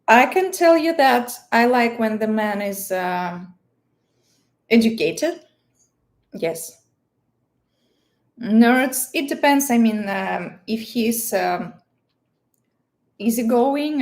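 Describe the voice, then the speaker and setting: High-voiced Russian-accented woman livestreamer. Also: darkish tone. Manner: playful, giggly, hesitant searching for words. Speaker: livestream host. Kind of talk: casual chat answering viewer questions.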